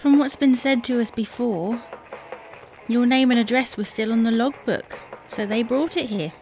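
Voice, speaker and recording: A woman's voice: a little high, normal speed, darkish tone, faint music behind, lightly acted. A woman playing a dry, sardonic, patient but unimpressed housewife in an audio sitcom. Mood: questioning.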